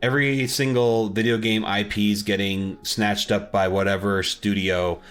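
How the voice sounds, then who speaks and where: A young man with a fairly low voice talks casually as a podcast co-host. He wanders through his thoughts and restarts sentences while he hunts for the right word. Soft music plays faintly behind him. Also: flat delivery, moderate pace. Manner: rambling, restarts sentences while searching for words. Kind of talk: casual chat.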